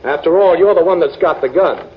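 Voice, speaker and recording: A cool, sardonic American adventurer hero in a 1940s radio crime show. His delivery is breezy and very animated in pitch, with some huskiness. The recording is muffled and lo-fi. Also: fairly quick, slightly rough. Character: wry, cool, quick-witted male amateur sleuth hero.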